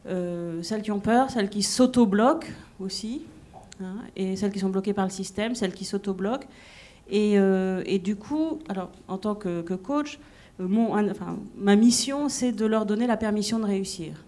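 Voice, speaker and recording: Woman career coach on a panel, mid-pitched, thoughtful, hesitant delivery with fillers. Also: normal speed, warm tone. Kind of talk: panel discussion answer.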